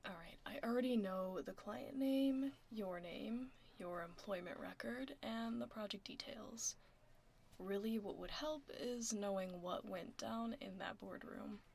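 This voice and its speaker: A woman playing a laid-back tomboy werewolf office admin in a soft-spoken, partly whispered ASMR roleplay, casual and understated, close to the mic. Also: medium pitch, a little slow, vocal fry. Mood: calm, focused. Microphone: close binaural microphone. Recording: faint noise, keyboard typing. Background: computer keyboard typing.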